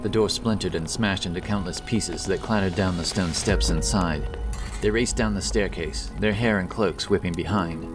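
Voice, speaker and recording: A man's voice: low-pitched, normal speed, distant mic. A man giving a dramatic scripted narration of a fantasy story. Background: loud epic background music.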